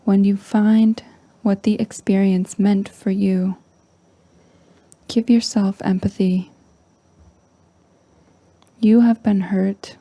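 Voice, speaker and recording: A woman leading a guided meditation in a soft, breathy, near-whispering voice, calm and gentle with long pauses. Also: medium pitch, dry recording. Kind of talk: slow guided meditation narration.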